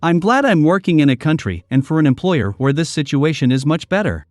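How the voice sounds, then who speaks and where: A man reading online posts aloud as story narration, in a steady, even delivery. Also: fairly high pitch, fairly quick, dry recording, studio quality.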